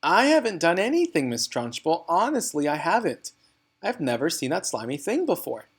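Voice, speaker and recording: A man's voice: a little high, very animated, moderate pace, husky, pitched above the performer's own voice. A man voicing an innocent little schoolgirl in a storybook, earnest and protesting. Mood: anxious protest.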